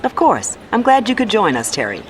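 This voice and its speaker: A woman's voice: medium pitch, wildly swooping pitch, brisk, distant mic. A woman playing a polite, businesslike executive in her thirties in an audio drama, courteous and formal. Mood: gracious. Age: 30s.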